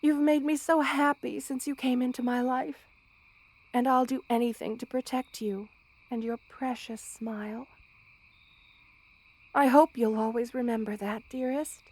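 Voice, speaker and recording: A woman playing a loving, protective spider queen in an ASMR roleplay, speaking tenderly and with gentle regal poise. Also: sits high, fairly even intonation, unhurried, no room sound. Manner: tender, nurturing, gently regal, addresses listener with endearments. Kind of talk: ASMR roleplay, intimate character acting.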